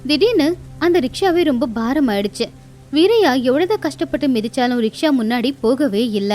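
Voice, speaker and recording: High-voiced woman storyteller over soft music. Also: lively intonation, fairly quick. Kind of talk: scripted moral-story narration.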